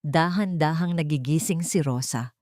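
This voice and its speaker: Low-pitched woman narrating a drama. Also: normal speed, a little mellow, no room sound, studio quality.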